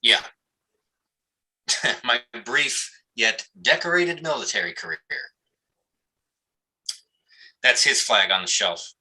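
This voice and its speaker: Man acting a blunt, sharp-voiced cop. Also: mid-range voice, big pitch swings, a little slow, breathy edge, heavily acted, rough recording. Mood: somber. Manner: blunt, profane, evasive. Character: defensive, foul-mouthed male cop and army veteran. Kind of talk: live staged play reading.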